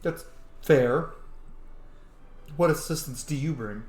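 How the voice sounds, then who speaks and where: A man's voice: a little high, slow, darkish tone. A man streaming a video game, chatting casually and reacting as he thinks aloud. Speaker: gaming streamer. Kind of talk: casual gameplay commentary. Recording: roomy echo.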